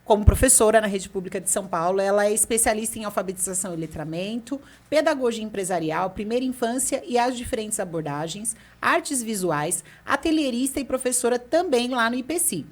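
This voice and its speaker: A woman's voice: fairly high pitch, expressive, fairly quick, hardly any pauses. A woman hosting a podcast, chatting in a bubbly, talkative way and laughing easily. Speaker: podcast host. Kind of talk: casual chat.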